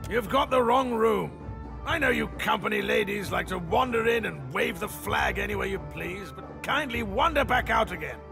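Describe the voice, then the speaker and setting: A man's voice: high voice, animated, normal speed. An actor playing a surly, hungover drunk man who has been squatting in an inn room, grumbling in a belligerent slur. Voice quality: gravelly. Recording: game soundtrack under the voice. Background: music.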